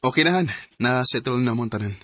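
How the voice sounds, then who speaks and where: A man's voice: mid-range voice, animated, fast, pushed and bright. A man acting a troubled, grateful husband burdened by debts in a radio drama, hesitant and subdued.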